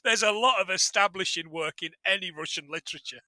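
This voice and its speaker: A man's voice: sits high, quick pace, sharp tone, dry recording. A man guest-hosting a podcast, chatting casually and self-deprecatingly, often restarting his sentences. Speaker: guest host.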